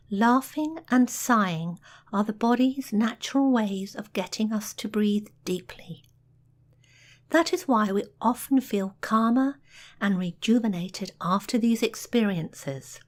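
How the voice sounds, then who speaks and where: Very slow, soothing woman narrator. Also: on the high side. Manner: soothing, measured, softly delivered with pauses. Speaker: guided relaxation narrator. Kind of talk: scripted guided meditation narration.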